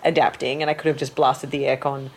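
A woman chatting casually on a podcast, telling animated stories, laughing often and acting out what other people said. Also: very deep voice, quick pace, husky. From living in Thailand. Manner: animated storytelling, laughs often, mimics voices. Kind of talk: casual chat.

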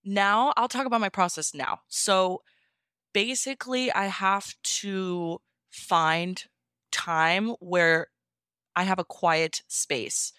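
Bright, crisp-voiced woman chatting casually. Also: medium pitch, fairly even intonation, slow pace, no echo, clean studio sound. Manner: chatty, informal, explains step by step. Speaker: podfic narrator being interviewed. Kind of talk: casual interview chat.

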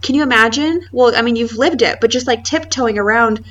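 A woman's voice: on the high side, a little fast, fairly bright tone. A woman interviewer in casual conversation, sympathetic and chatty, affirming her guest often. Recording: slightly distant, dull recording.

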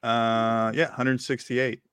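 A man's voice: mid-range voice, flat delivery, takes it slowly, a bit bright, clear, resonant tone, no room sound, studio quality. A middle-aged man co-hosting a podcast, chatting casually with lots of fillers and laughs. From Virginia. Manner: rambling, filler-heavy, laughs often. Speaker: podcast co-host. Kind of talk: casual chat.

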